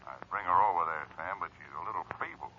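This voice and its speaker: A man's voice: low voice, quick pace, slightly rough. A man acting a stolid, tired police lieutenant with plain-spoken delivery in a 1940s radio drama. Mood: tired, businesslike. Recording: dull old radio recording.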